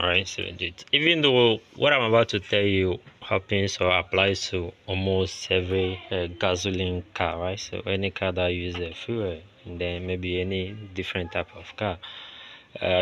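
A Ghanaian-accented man giving an informal hands-on mechanic's tutorial, often checking in with "right?" and posing questions he answers himself. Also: sits low. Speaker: mechanic giving car tips. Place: outdoors at an open car bonnet. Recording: loud engine and vehicle noise.

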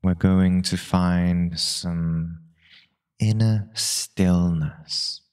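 A man with a deep voice guides a meditation at an extremely slow pace. His delivery is calm and very even, with little rise or fall. He gives gentle instructions in short phrases, leaving long pauses between them. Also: clean tone.

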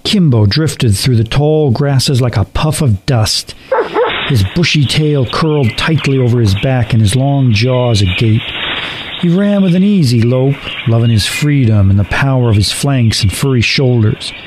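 A man narrating a scripted horror story for radio drama with deliberate, ominous, measured phrasing. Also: medium pitch, background noise. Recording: music under the voice. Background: music.

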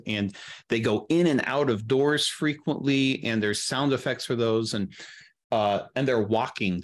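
A middle-aged man chatting casually as an interview guest, explaining production matters at length and in practical detail on a video call. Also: medium pitch. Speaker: guest, audio drama producer. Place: indoor room, video call.

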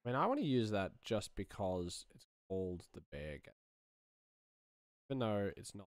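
A man in his thirties hosts a casual sound design tutorial, thinking out loud as he works. His voice is low and is recorded close and dry. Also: very animated, normal speed, clear, resonant tone, dry recording. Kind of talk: casual screen-recorded demonstration, thinking aloud.

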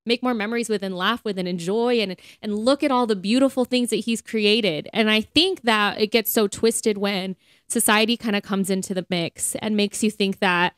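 A woman's voice: medium pitch, normal speed, fairly bright tone, clear, resonant tone, dry recording, studio quality. A woman co-hosting a podcast, talking in an earnest, chatty way in casual conversation about faith. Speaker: podcast co-host.

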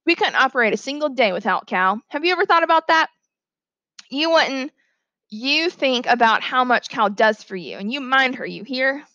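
A woman reader voices a flinty father from a storybook. The delivery is firm and admonishing, with fairly high pitch. The sound is dry and close. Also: animated, moderate pace, pushed and bright, no room sound, highs cut off. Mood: stern.

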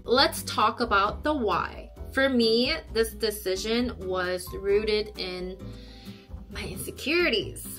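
A woman, talking to the camera in a chatty, expressive way from a small bedroom. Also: slow, music in the background. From South Korea. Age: 30s. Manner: chatty and expressive, acts out quoted people in a high voice. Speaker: YouTube vlogger. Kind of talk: casual talk to camera.

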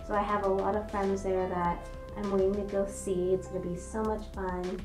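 A woman's voice: medium pitch, little pitch movement, normal speed. Bubbly young woman, muffled in a fursuit. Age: young adult. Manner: bubbly and chatty, with many fillers and restarts. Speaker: vlogger. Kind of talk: casual vlog to camera. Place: small room indoors. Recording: echoey room with faint music.

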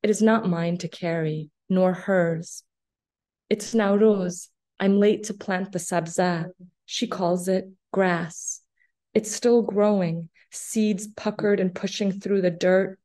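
A woman in her thirties, born in Afghanistan with Farsi as her first language, reads poetry in a measured, deliberate way over a video call from a small office. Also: mid-range voice, fairly even intonation, mellow. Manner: measured, deliberate recitation with long pauses. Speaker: poet. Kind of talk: slow poetry reading. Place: small office room indoors. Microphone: laptop webcam video call.

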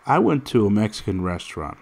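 A man's voice: on the low side, sing-song, fast talker, gravelly, a little mellow. A man in his thirties chatting casually and rambling through stories while playing a mobile fighting game, direct and full of restarts. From United States. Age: 30s. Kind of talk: casual gameplay commentary.

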